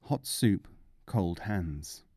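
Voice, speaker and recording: A man's voice: low voice, expressive, very slow, warm tone, slightly rough, dry recording, studio quality. A man reading as an audiobook narrator, in scripted storybook narration.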